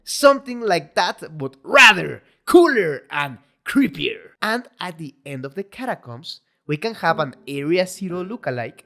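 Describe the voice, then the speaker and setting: A woman with a non-native English accent, talking in an excitable, casual video commentary, swearing now and then and joking at her own expense. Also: on the low side, measured pace, few pauses. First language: not English. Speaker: video essay host. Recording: faint background music.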